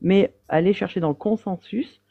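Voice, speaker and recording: A woman's voice: mid-range voice, normal speed, creaky, no room sound. Woman on a dry tinny phone line. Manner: defensive, hesitant, many fillers. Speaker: debate caller. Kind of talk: live debate on a stream.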